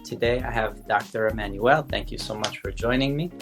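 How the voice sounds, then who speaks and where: A man's voice: fairly low pitch, brisk, music in the background. A man hosting an interview podcast, a chiropractor who asks questions and gives short encouraging replies.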